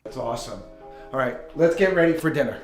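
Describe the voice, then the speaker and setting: A man's voice: mid-range voice, expressive, fast talker, natural voice. A middle-aged man acting as a proud, upbeat father in an amateur short film, hearty and earnest. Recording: echoey room, music underneath.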